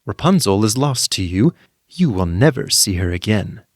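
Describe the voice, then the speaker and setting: A man's voice: fairly low pitch, very animated, normal speed, no room sound, clean studio sound. A man voicing a wicked old enchantress from a storybook, angry, menacing and mocking. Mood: mocking, gloating. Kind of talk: storybook character voice.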